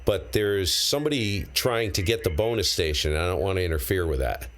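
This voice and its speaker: Low-voiced man on a ham radio livestream. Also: lively intonation, fairly quick, a bit bright. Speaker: amateur radio operator live-streaming a contest. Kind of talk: casual livestream chat and radio calls. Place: cold basement radio room.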